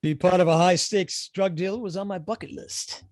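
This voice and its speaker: A man plays a laid-back, flippant sidekick in a stage comedy. His voice sits high for a man, with breezy offhand delivery and a very dry sound. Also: moderate pace, no echo, close to an everyday voice. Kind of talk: table read of a comedy play.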